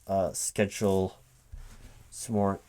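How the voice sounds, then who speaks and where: Low-voiced man, halting ASMR roleplay. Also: level tone, a little mellow, clean tone. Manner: hesitant, halting, puzzling things out aloud. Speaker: recruiting manager interviewing a gamer in a one-sided roleplay. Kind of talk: spoken ASMR roleplay, casual one-sided conversation, not whispered.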